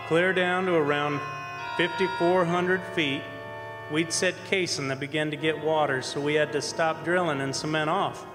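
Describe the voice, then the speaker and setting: An actor playing a folksy, easygoing oil rig roughneck who tells his story in a colloquial way, in stage radio drama style. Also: a little high, fairly even intonation, normal speed, close to an everyday voice. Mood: folksy storytelling. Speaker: actor and narrator in a radio play. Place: performing arts center stage. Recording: reverberant hall, music behind.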